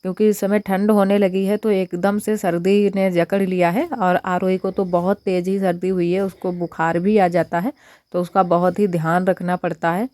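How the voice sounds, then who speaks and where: A young woman with a hoarse, husky voice from a cold, chatting casually as she walks through her cooking in a village home kitchen. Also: on the low side, flat delivery, normal speed, darkish tone, dry recording. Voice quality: husky, strained voice. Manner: chatty, homely step-by-step narration. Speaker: village vlogger. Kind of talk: casual cooking vlog narration.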